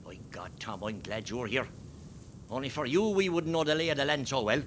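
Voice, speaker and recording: A man with an Irish accent playing a steady, rousing rebel column commander in a radio play. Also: fairly quick, natural voice. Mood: confiding, steady. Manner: commanding, swinging from quiet briefing to rallying. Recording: faint rumbling background noise.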